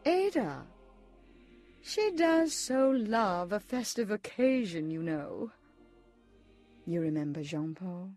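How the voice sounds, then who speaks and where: Slow, mellow, coolly taunting gothic villainess, played by an actress. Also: female voice, mid-range voice, very animated, faint music behind. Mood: smooth taunting. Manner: measured, mock-polite, theatrical. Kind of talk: gothic soap audio drama acting.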